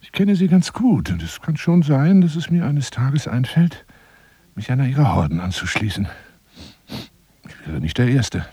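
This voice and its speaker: An actor playing a lonely, self-dramatizing hunter in a radio drama monologue, wooing a woman in a courtly, theatrical, husky voice that drifts into whispers. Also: mid-range voice, sing-song, normal speed, dark tone, a little hiss or hum. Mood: confiding, boastful. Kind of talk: radio drama monologue as one side of a phone call.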